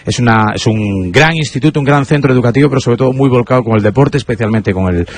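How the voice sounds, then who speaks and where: Man, radio host, rapid delivery, chatty tag questions, live on location. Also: medium pitch. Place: on location, remote broadcast.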